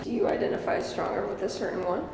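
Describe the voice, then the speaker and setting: Gravelly-voiced interviewer asking plainly. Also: medium pitch, quick pace. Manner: plain, even questioning. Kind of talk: interview questions. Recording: noisy recording.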